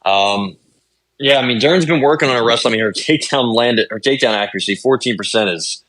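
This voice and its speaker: A man's voice: mid-range voice, fast, a bit bright, dry recording, poor audio. A man co-hosting a podcast, breaking down fighters in casual chat, with many ums and I-means. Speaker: podcast co-host. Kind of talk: casual sports analysis chat.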